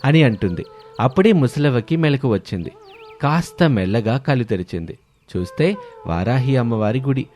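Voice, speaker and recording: A man's voice: mid-range voice, animated, moderate pace, darkish tone. A man narrating a scripted moral story. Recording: music behind the voice.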